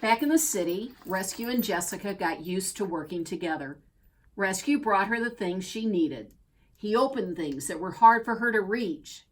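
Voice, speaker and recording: A woman reading a children's picture book aloud as a storyteller, expressively and deliberately, drawing out key words. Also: mid-range voice, animated, low-quality recording. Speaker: storybook reader.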